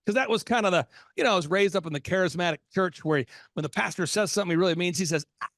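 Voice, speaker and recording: A man with a notably high voice hosts a business podcast, talking in a dry, close-miked sound. He is animated and preachy, hammering on catchphrases and now and then breaking into a shout. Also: very fast, dry recording. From Oklahoma. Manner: animated, preachy, repeats punchlines with sudden shouts. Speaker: podcast host. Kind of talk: energetic business podcast talk.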